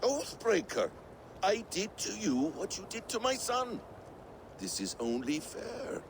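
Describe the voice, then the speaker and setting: An actor playing a sly, vengeful trickster god in a video game, theatrical, taunting and smug. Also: male voice, high voice, huge pitch swings, measured pace, heavily acted. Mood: bitter, smug. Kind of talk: dramatic video game acting. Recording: game cutscene with clear background noise.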